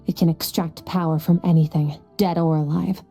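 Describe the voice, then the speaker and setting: An aloof and powerful warrior woman with a very dark, soft-edged voice on the low side. She speaks in cool, deadpan, formal sentences, as in an anime game, with music behind her. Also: normal speed, breathy edge. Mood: grave, explanatory. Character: aloof, stoic, powerful young swordswoman from the abyss. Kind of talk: anime-style game voice acting.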